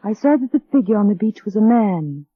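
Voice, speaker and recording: A woman's voice: medium pitch, animated, a little fast, phone-quality sound, natural voice. An actress playing a timid, anxious young wife who tells her gothic story in 1940s radio style. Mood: brooding narration. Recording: thin, band-limited vintage radio recording.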